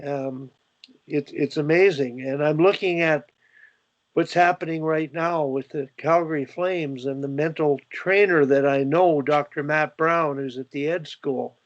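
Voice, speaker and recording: An older Canadian man hosts a call and rambles on with plenty of "uh"s and false starts. His pitch sits a little high for a man. The sound is dull and dry, like laptop audio from a video call. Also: fairly even intonation, measured pace, no room sound. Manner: rambling, many fillers and restarts. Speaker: host. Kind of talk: video call panel discussion.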